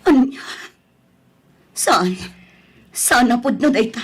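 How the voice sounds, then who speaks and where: A woman's voice: on the high side, animated, takes it slowly, slightly rough, exaggerated voice. A woman with a Filipino accent playing a heartbroken, betrayed wife and mother in an audio drama, lamenting tearfully. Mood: sad, worried. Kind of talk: Ilocano audio drama acting.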